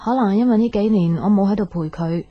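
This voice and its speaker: A woman's voice: mid-range voice, quick pace, highs cut off, close to an everyday voice. An actress playing a pensive young woman with a Hong Kong Cantonese accent in a natural, conversational radio drama style. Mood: casual, sceptical.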